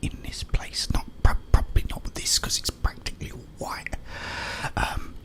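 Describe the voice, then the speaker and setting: A British man whispering an informal, rambling ASMR monologue, playfully repeating words as he goes. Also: normal speed. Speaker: ASMR content creator.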